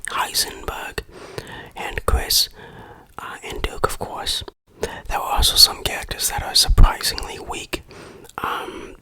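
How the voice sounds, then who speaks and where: A person whispering an ASMR game review in a breathy, unvoiced voice, deliberate and tongue-in-cheek. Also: pushed and bright, low-quality recording.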